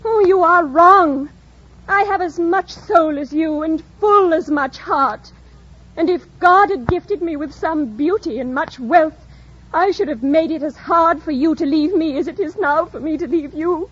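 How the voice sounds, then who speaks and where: A woman's voice: very high voice, measured pace, fairly bright tone. A woman playing an earnest, proud young British governess in love, speaking with formal, impassioned diction in an old-time radio drama. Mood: impassioned, defiant. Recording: dull, lo-fi old radio recording.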